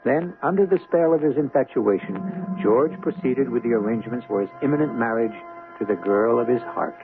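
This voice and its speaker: A man hosting a mystery radio drama, narrating in a solemn, portentous, deliberate scripted style. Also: mid-range voice. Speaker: host and narrator. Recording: muffled, tinny vintage radio broadcast. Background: faint music.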